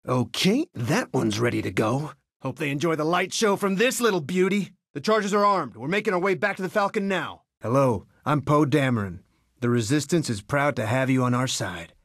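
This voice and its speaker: An actor playing a cocky, friendly young resistance pilot in a video game, breezy and wisecracking. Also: male voice, fairly high pitch, animated, normal speed, a bit bright, no echo, clean studio sound. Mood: confident, easygoing. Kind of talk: video game character voice clips.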